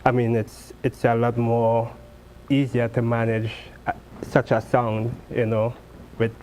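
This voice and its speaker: A man from Trinidad and Tobago, a steel pan player answering interview questions in a halting way, restarting and repeating words. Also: mid-range voice, completely flat delivery, a little mellow, slight background noise, rough recording. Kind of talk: interview guest.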